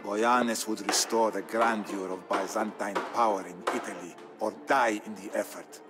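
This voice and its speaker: A man acting as a solemn Byzantine Greek storyteller, narrating his family's war tale for a game in a grave, measured, theatrical way. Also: lowish voice, steady tone, music in the background, close to an everyday voice. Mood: stern, proud. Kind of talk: dramatic scripted narration.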